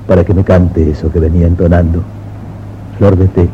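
A man's voice: sits low, flat delivery, moderate pace, natural voice. An actor playing an imperious, romantic wealthy man in 1950s radio drama style, intense and commanding. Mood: insistent, yearning. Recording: muffled noisy 1950s radio recording.

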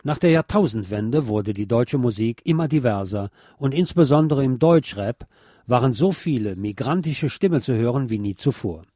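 Mellow man narrating, dry close sound. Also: mid-range voice, no echo. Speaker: narrator reading a book chapter. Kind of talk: scripted essay narration for radio.

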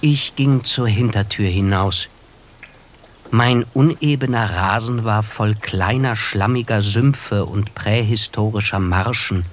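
An actor playing a reflective first-person narrator, reading literary prose in a measured, wry way for a German radio drama. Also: male voice, fairly low pitch, slight background noise. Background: music under the voice.

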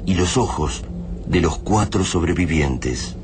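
Deep, solemn Argentine radio-drama narrator. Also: male voice, lively intonation, slow pace, background noise. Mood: grave, ominous. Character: grave narrator of an alien invasion saga.

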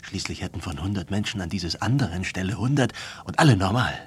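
An actor playing a sleepless, eccentric, brooding artist in a radio play, musing and halting as he tells his stories. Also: low voice, animated, a little hiss or hum. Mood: wry, musing. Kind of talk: German radio play acting.

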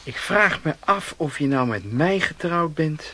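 Bright-voiced, dryly teasing husband. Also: male voice, on the high side, expressive, a little slow, a little hiss or hum. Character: teasing, dry husband of a policewoman. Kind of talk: naturalistic Dutch radio drama acting.

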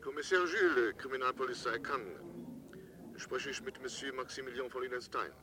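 An actor playing a polite police inspector in a German radio drama, formal and hesitant. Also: male voice, medium pitch, brisk, background noise, close to an everyday voice. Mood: official, grave. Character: polite, halting French police inspector on the phone.

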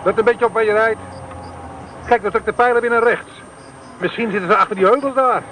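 An actor playing a dry, mocking radio-detection operator reading out meter numbers in a radio play. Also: male voice, sits high, fairly quick, fairly bright tone, a little hiss or hum, poor audio. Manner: dry, matter-of-fact, mocking.

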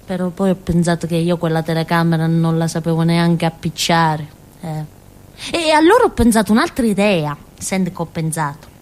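An actress playing a naive, excitable village girl with a Calabrian accent, telling her story in a childlike, colloquial theatrical monologue for radio. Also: on the low side, natural voice. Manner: childlike, colloquial storytelling with frequent giggles and asides.

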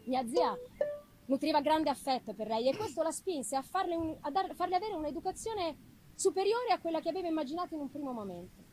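A woman voicing an affectionate, anxious young gentlewoman in a theatrical, unhurried reading of a letter from a gothic novel. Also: high voice, low-quality recording. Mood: tender, sorrowful. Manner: expressive, unhurried dramatic delivery with pauses. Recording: live music behind the voice.

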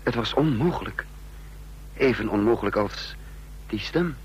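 An actor playing a haunted, confused man caught in espionage, narrating in brooding, halting fragments in a radio drama. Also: on the low side, expressive, breathy edge. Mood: brooding unease. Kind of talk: Dutch radio drama acting, introspective narration. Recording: noisy old radio play recording.

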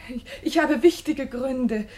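A woman's voice: high-pitched, takes it slowly, a little mellow. An actress playing a reserved, polite young woman in a German radio play, speaking hesitantly. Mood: reluctant, subdued.

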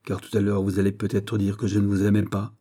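Storybook suitor, played by a male narrator: betrayed young nobleman, solemn and bitter, grandly tragic, low dark voice. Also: completely flat delivery, quick pace, close to an everyday voice. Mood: bitter, contrite. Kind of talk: dramatic storybook reading.

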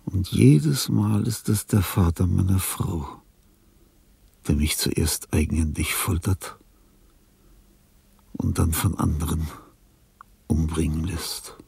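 An actor playing a sick, weary old artist near death, morbid and fussy, in a theatrical, brooding radio drama monologue. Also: male voice, low voice, takes it slowly, long pauses, darkish tone, exaggerated voice. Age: older. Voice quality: husky, creaky. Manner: theatrical, brooding, slips into whispering.

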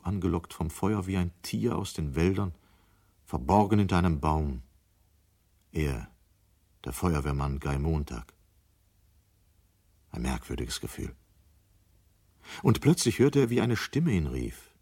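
A male actor narrating a dystopian science fiction radio play in a grave, measured, literary manner. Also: low voice, expressive, creaky, darkish tone, breathy edge, heavily acted. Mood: grave, suspenseful. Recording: 1970 radio play studio recording.

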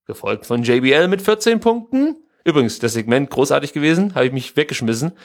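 A male podcast co-host speaks in a fairly high-set voice. He talks casually and colloquially, offering firm opinions like someone chatting with a friend. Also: sing-song, quick pace. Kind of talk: casual chat about pro wrestling.

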